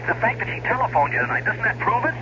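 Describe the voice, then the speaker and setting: An American actor playing a hapless, apologetic, weak-willed brother who pleads excitably in a 1970s radio drama. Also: male voice, sits high, fast talker. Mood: anxious pleading. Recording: muffled, noisy old radio broadcast recording.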